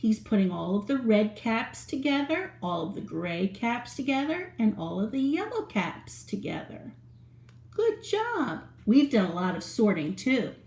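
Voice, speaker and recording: A woman reading a picture book aloud to young children, animated and asking them questions. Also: on the high side, measured pace, creaky. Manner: animated, asks the listener questions. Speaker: children's storyteller. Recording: roomy echo.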